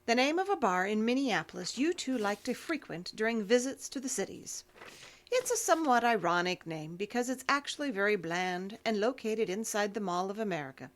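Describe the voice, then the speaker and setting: A businesslike female interrogator, played by a woman in an audio drama. She speaks at a middling pitch in a cool, dry, formal manner, controlled and persistent. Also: animated, a little fast, lightly acted. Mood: insistent, dry.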